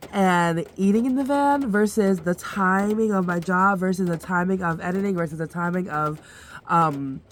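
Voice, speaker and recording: A woman's voice: lowish voice, a little hiss or hum. Stuffy-nosed woman chatting in her van. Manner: chatty, rambling, frequent fillers and restarts. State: stuffy nose from sinus pressure. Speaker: van life vlogger. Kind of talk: casual vlog chat to camera.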